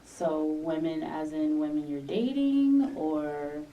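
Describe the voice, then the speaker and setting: A woman hosting a podcast, chatty and quick to laugh as she interviews a guest. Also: deep voice, vocal fry, far from the mic, lo-fi sound, a little hiss or hum. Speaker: podcast host. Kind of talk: casual interview chat.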